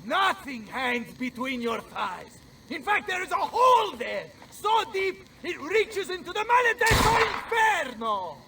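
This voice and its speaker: An actor playing a blustering, hot-tempered Italian mercenary general in a video game, with an Italian accent and a bombastic, theatrical manner. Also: male voice, very high-pitched, very animated, measured pace, creaky, few pauses, recorded from a distance, background noise, full character voice. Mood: furious, defiant. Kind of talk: dramatic video game acting.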